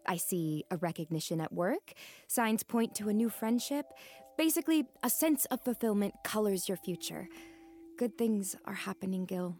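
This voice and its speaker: A woman's voice: mid-range voice, lively intonation, moderate pace, darkish tone, natural voice. An actress playing a kind young woman who reads tarot, speaking earnestly in an audio drama. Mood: explaining.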